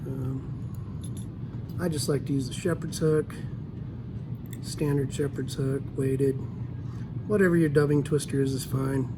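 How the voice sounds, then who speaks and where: A man from Idaho, relaxed and easygoing with frequent ums, giving a step-by-step fly tying tutorial at a shop workbench. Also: on the high side, background noise. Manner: easygoing, talks while working, frequent ums.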